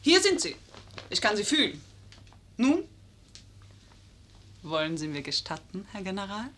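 A woman's voice: medium pitch, sing-song, unhurried, a bit bright. An actress in a 1950s radio play as a witty, teasing, self-assured young lady posing as an officer. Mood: teasing, mock serious.

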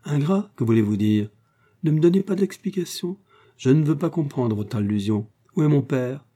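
A man reading aloud, voicing a sharp-tongued young woman who talks in a teasing, quick manner. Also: medium pitch, expressive, voice pushed up, lightly acted.

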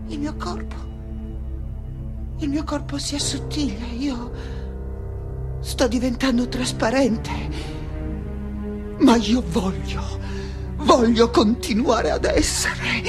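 High-voiced, impassioned woman scholar in radio drama. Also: lively intonation. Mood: desperate, pained. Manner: halting, repeating words, impassioned, dramatic. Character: frightened, defiant woman scholar and teacher facing her killers. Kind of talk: theatrical radio drama monologue. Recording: loud music behind the voice.

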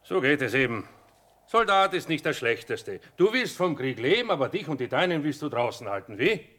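An actor playing a sly, mocking army sergeant in a theatrical radio play. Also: male voice, fairly high pitch, big pitch swings, normal speed, pushed and bright, full character voice. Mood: taunting. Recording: slightly noisy radio play recording.

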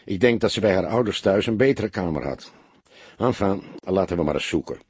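A man voicing a stout middle-aged police detective in an audiobook, calm, wry and courteous, with a laconic way of talking. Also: low-pitched, a little fast, slightly rough, dry recording. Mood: businesslike.

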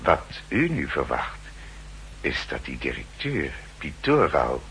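An actor playing a formal, dryly polite aide to a spymaster in a 1960s radio drama, deferential, measured and precise. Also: male voice, rumbling low, huge pitch swings, gravelly, a bit bright, heavily acted, rough recording. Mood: dry, pointed. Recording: 1960s radio recording, faint hum and noise.

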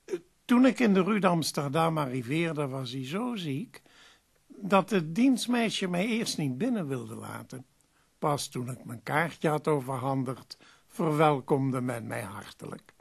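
An actor in a radio drama playing a courteous, shrewd publisher, measured and businesslike. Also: male voice, a little high, lively intonation, vocal fry, slightly rough, full character voice. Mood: measured narration. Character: courteous, shrewd male publisher visiting sick author. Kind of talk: radio drama narration and dialogue.

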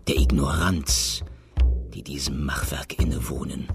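An actor playing a proud, contemptuous schoolteacher in a radio drama, speaking in a grandiose, brooding manner. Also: male voice, very deep voice, steady tone, measured pace, gravelly, exaggerated voice. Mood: bitter disgust. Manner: grandiose, brooding, literary diction. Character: proud, contemptuous schoolteacher, self-styled hero, triumphant and haunted. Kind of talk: dramatic radio play monologue. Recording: voice over music.

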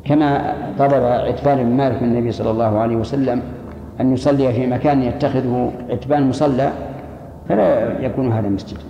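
A man's voice: medium pitch, steady tone, quick pace, vocal fry, warm tone. An older man with a Saudi accent speaks as a religious teacher, explaining and answering questions. His voice comes through a dull recording in an echoing hall, with faint noise behind him. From Saudi Arabia. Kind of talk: spoken lesson explaining texts and answering questions.

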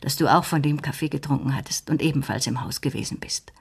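Casting: lonely, clever older spinster in radio mystery, deep smoky voice, measured delivery. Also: female voice, creaky, slightly rough, heavily acted. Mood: pensive, explaining. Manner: measured, deliberate reasoning. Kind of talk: German radio crime drama dialogue.

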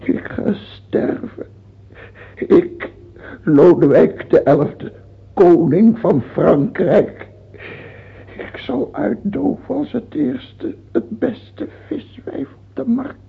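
An actor playing a dying, cruel king in his sixties in a radio play, speaking haltingly in gasps with sudden outbursts. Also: sits high, heavily acted. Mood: feverish dread. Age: 60s. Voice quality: husky, creaky, gasping. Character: dying sixty-year-old tyrant king, bitter, cruel, raving. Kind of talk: theatrical radio drama acting. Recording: old lo-fi radio recording, phone-like.

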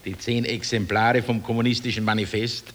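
A man's voice: on the low side, fairly even intonation, fairly quick, fairly bright tone. An actor playing a middle-aged Austrian innkeeper and father, proud, defiant and indignant, in a radio play. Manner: blustering, indignant. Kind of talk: 1960s radio play acting. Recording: noisy, clicking old tape.

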